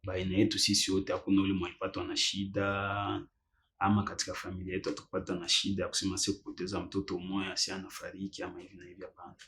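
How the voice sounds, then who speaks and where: A man's voice: sits low, monotone, racing, a bit bright, a little hiss or hum. A man from Congo speaking with heartfelt gratitude in a documentary interview. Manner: grateful, heartfelt.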